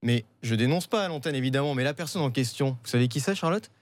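A man's voice: medium pitch, animated, quick pace. A man from a broadcast crew, telling stories in casual chat. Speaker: radio and TV show team member. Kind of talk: casual animated storytelling.

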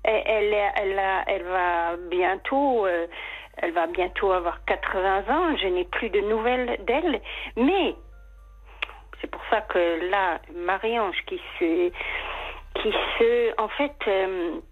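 A woman with a slight English accent calling a radio show, speaking haltingly with many hesitations as she opens up emotionally. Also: medium pitch. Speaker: caller. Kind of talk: personal confession on call-in radio. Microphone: telephone line. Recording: muffled phone-line call.

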